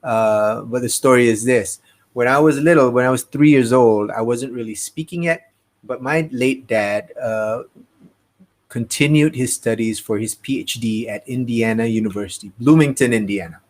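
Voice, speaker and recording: A man's voice: mid-range voice, normal speed. Chatty man with Midwestern American twang. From Malaysia. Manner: chatty, greets viewers one by one, mixes in Malay phrases. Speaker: livestream host. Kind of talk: casual livestream chat. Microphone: desk mic on a streaming setup.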